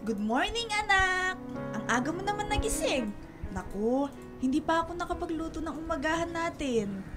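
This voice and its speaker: A woman's voice: very high-pitched, moderate pace. An actress playing a fretful, doting middle-aged Filipino mother who fusses and nags in a radio drama. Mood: tender, fussing. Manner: fussing, nagging, expressive. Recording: music behind the voice.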